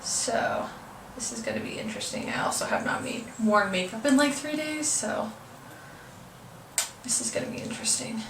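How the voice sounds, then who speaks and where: A woman's voice: on the high side, very animated, moderate pace. Stuffed-up young woman, roomy home video. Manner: chatty, hesitant with many ums, apologetic. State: stuffed up, congested. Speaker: beauty vlogger. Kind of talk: casual makeup tutorial. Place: room at home. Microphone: camera mic from a distance. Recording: roomy, distant camera audio with faint hiss.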